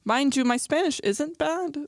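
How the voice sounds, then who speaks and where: A woman from the United States hosting a podcast, talking in an animated way with lots of "like". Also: high voice, unhurried, dry recording, studio quality. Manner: animated, says "like" often. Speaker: podcast host. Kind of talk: casual podcast chat.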